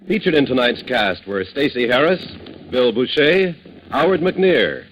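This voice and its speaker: A man's voice: mid-range voice, very animated, a little slow, slight background noise. An American man working as a 1950s network radio announcer, reading credits and promotions in a polished, declamatory style. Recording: muffled old radio broadcast, loud music behind.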